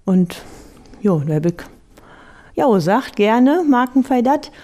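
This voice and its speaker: A woman's voice: fairly high pitch, big pitch swings, slow pace, mellow. A woman, answering interview questions hesitantly with many fillers. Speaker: amateur theatre member.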